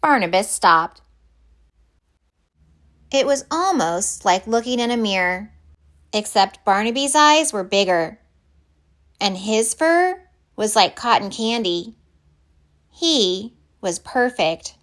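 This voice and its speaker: A woman reading a children's picture book aloud in deliberate, expressive narration. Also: mid-range voice. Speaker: storybook reader.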